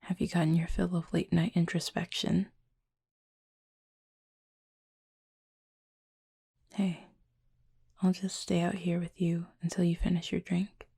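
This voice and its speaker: Woman voicing a soft, husky, low-pitched gentle Cupid. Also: flat delivery, heavily acted. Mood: gently teasing, caring. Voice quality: soft, breathy, husky, often near-whisper. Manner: slow, measured, formal storytelling with soft pauses. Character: gentle adult Cupid roommate, wistful, affectionate, softly teasing. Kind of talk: intimate ASMR audio roleplay.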